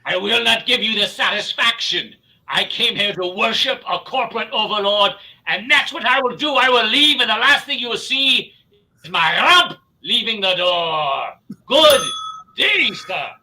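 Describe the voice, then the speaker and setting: A man's voice: high voice, lively intonation, unhurried, bright, forceful tone, low-quality recording. A man in live improv playing a grandiose, theatrical man who crawls everywhere. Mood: defiant. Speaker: improv comedian.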